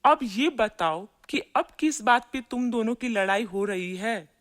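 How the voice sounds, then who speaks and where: A woman playing an exasperated mother-in-law who scolds her daughters-in-law in an animated moral story. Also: a little high, measured pace.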